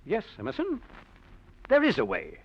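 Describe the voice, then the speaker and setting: A coolly menacing academic schemer in a vintage radio mystery. His speech swings up and down in animated, insinuating turns, with mock courtesy and sardonic bite. The old recording is muffled. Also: high voice, normal speed, creaky, breathy edge, heavily acted. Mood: smooth, self-satisfied. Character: scheming, smug male professor, coolly blackmailing a colleague.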